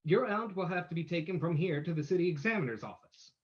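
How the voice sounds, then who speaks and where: A man playing a suave, considerate gentleman sleuth in an old-time radio mystery, politely formal, in a lo-fi recording. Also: a little high, lively intonation, quick pace, lightly acted. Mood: reassuring.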